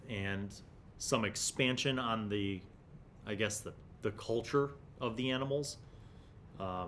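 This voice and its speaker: A man's voice: on the low side, a bit bright, a little hiss or hum. A man in his forties hosting a gaming review, explaining casually and reading passages aloud into a desktop microphone. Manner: conversational, explanatory. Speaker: host of a tabletop gaming review series. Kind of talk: casual commentary with passages read aloud from a magazine. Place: small indoor home studio. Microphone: large desktop condenser microphone.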